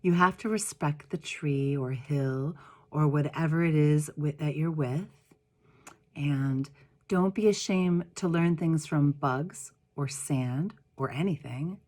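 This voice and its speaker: Woman voicing wise old man, patient, slow, measured, gently instructive, storybook character. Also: low-pitched. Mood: softly instructive.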